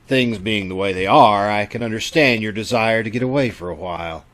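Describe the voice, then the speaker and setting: An actor playing a concerned, disapproving doctor in an old-time radio drama, speaking earnestly and haltingly. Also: male voice, fairly low pitch, a bit bright, close to an everyday voice. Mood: concerned, probing.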